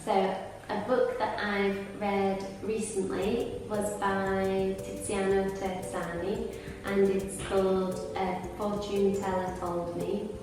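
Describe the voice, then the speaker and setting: Woman, teacher, slow, flat delivery, echoey distant recording. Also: medium pitch. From Blackpool, northwest England. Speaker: English teacher. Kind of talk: casual talk to camera. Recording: echoey room, mic far off, music behind.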